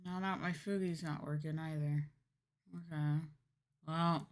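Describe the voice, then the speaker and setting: Woman, low voice, unhurried delivery, casual livestream chat, hesitant muttering. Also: fairly even intonation. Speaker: VTuber livestreamer.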